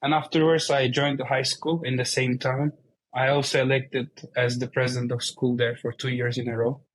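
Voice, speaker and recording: Turkish-accented man speaking in a flat, even tone. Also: mid-range voice, normal speed, a little hiss or hum, low-quality recording. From Turkey. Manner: explains plainly in non-native English. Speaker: guest, company CEO. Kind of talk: casual interview conversation.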